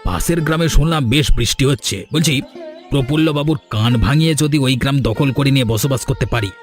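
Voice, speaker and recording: Man acting an envious village husband, mid-pitched, grumbling, scheming, cartoon fairy tale. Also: quick pace, soft background music, natural voice. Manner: complaining, conniving.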